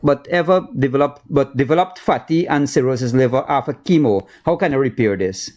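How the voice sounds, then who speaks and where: Guy hosting a talk show, mid pitched voice, quick questions and lots of yeahs. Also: darkish tone. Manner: brief agreeing interjections, brisk questions. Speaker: show host.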